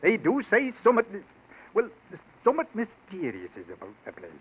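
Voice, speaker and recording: Flustered old Yorkshire servant, tinny radio. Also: male voice, high-pitched, huge pitch swings, fairly quick, slightly rough, full character voice. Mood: uneasy. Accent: Yorkshire English. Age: older. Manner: dialect speech, hesitant stammering. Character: old male rustic servant, grumbling, flustered, deferential. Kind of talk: 1940s radio acting. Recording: tinny, noisy 1940s radio recording.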